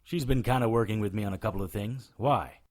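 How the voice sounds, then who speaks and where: An actor playing a wry, defiant hard-boiled supernatural investigator in an audio drama, with sardonic retorts and noir delivery. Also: male voice, on the low side, quick pace, close to an everyday voice. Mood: wry, curious. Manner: sardonic, noir-style first-person narration and retorts.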